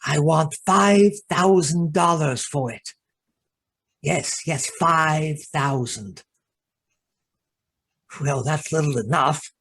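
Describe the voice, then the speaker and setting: Slow, hesitant manservant played by a man. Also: a little high, a bit bright, slightly rough, full character voice. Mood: furtive, scheming. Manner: hesitant, polite servant's delivery. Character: deferential, hesitant, secretly treacherous male valet. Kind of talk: amateur radio play acting.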